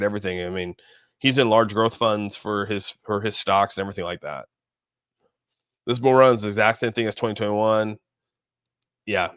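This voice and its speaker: A man's voice: fairly low pitch, a bit bright, no room sound, clean studio sound. A man hosting a casual livestream, rambling informally and thinking aloud as he comments on markets and responds to viewers. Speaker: livestream host. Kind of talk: casual live chat commentary on crypto markets.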